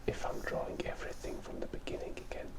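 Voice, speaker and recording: A person with a cold whispers softly and with pauses, giving ASMR drawing commentary close to the microphone. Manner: soft whispering, hesitant pauses. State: has a cold. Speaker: ASMR host and illustrator. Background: drawing tablet and keyboard sounds.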